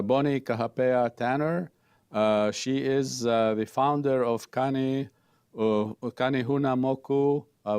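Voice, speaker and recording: A man hosting a studio interview program, speaking in halting, hesitant phrases. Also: lowish voice, darkish tone, clean tone, no room sound. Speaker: talk show host.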